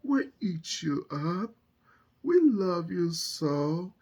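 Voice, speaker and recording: A woman's voice: lowish voice, sing-song, dark tone, slightly rough, pitched above the performer's own voice, exaggerated voice. Woman as pleading, clingy storybook monsters. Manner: pleading, drawn-out words. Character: pleading wild monsters, clingy and hungrily affectionate.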